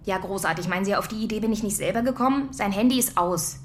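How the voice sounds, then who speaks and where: A woman's voice: mid-range voice, fast talker, a little hiss or hum, lightly acted. An actress as a worried wife and mother. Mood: frustrated. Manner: worried, insistent. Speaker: mother and wife waiting for her husband. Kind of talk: radio play acting.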